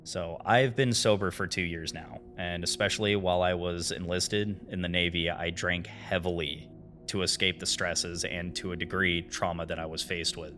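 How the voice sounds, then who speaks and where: Deep-voiced man narrating, forceful delivery. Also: steady tone, moderate pace, faint music behind. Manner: earnest, dramatic phrasing, informal asides. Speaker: host of a horror series analysis video. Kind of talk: scripted narration, video essay.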